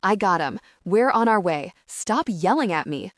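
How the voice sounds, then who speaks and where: A woman's voice: medium pitch, lively intonation, moderate pace, darkish tone, no echo, studio quality, lightly acted. A woman voicing a blunt, tough young man who speaks urgently in an audiobook. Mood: irritated. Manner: urgent, barking.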